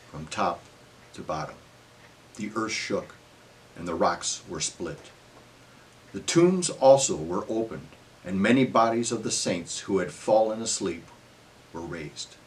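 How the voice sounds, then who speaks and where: A man narrating scripture as a solemn dramatic reading, in measured phrases that trail off at the ends. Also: lowish voice, fairly bright tone, slight background noise, poor audio.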